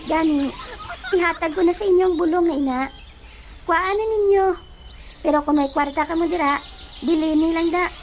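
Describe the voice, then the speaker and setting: A woman's voice: extremely high pitch, normal speed, pauses often, a little hiss or hum. A woman playing a friendly forest fairy in a comedic audio drama, with an Ilonggo Filipino accent. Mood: gracious, teasing.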